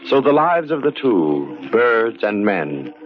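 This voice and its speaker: Music sounds behind a brooding horror narrator. The man's voice is low and swooping, portentous and theatrical, on a muffled old radio recording. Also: big pitch swings, takes it slowly. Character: ominous, philosophizing male narrator of macabre tales.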